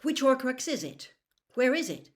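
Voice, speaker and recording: A woman voicing a defiant teenage boy wizard in a storybook, blunt, loud and urgent. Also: high voice, sing-song, moderate pace, pitched above the performer's own voice. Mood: urgent, eager.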